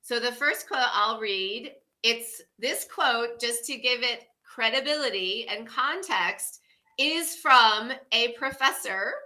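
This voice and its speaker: A woman's voice: fairly high pitch, slow pace, pushed and bright. A woman in her fifties co-hosting a wellness livestream, talking in a casual, effusive way and laughing often. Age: 50s.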